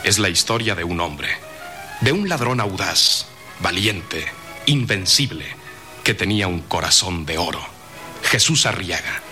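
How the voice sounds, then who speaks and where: Deep, very bright, forceful radio narrator. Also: male voice, animated, soft background music. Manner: solemn, declamatory, measured phrasing. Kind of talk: dramatic scripted radio serial narration.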